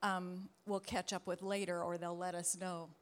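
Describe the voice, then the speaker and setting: A woman, a missionary guest on a church panel, speaking hesitantly with many pauses and ums. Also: on the low side, level tone. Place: church hall with audience.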